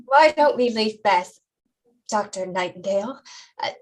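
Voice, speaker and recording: A woman's voice: medium pitch, animated, measured pace, a little mellow. A woman acting a careful, caring mother in a staged play reading. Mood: subdued.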